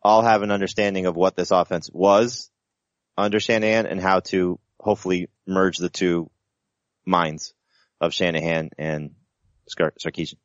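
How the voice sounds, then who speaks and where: A man's voice: low voice, steady tone, moderate pace, no echo, lo-fi sound. A man on a sports podcast panel, talking casually with many hesitations.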